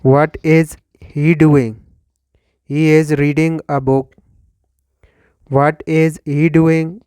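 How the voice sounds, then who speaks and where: A Pakistani-accented man in his thirties, whose first language is Urdu, leads an English drill at a very slow, careful pace. His smooth, clear voice sits a little high for a man. The sound is dry and close, with no room echo. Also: little pitch movement, darkish tone. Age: 30s. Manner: slow, deliberate, repeats each line for learners. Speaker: English teacher. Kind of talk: slow scripted question-and-answer drill.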